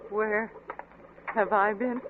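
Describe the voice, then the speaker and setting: An actress playing a gentle, sorrowful, devoted wife, hesitant and tender in 1940s radio drama style. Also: female voice, medium pitch, steady tone, slow pace, lightly acted. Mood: guarded. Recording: tinny, noisy old radio broadcast.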